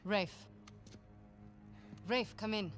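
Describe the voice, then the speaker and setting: A woman's voice: medium pitch, extremely slow, faint music behind. An actress playing a tough, commanding female mercenary leader in a video game cutscene, blunt and clipped.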